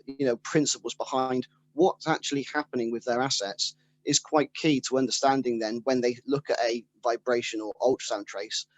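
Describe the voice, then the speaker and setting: A man's voice: mid-range voice, dry recording, rough recording. A man speaking as a panelist who is an engineering trainer, explaining earnestly and steadily. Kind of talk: panel discussion.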